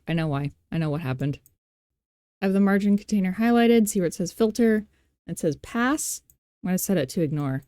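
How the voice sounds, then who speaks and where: A woman hosting a software tutorial, thinking aloud in an informal, playful, self-deprecating way into a close mic. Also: sits low, no room sound. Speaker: tutorial host.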